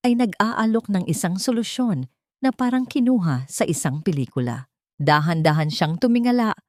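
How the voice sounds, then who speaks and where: A woman's voice: fairly low pitch, no echo, clean studio sound. A woman narrator reading a scripted love story in a dramatic storytelling style.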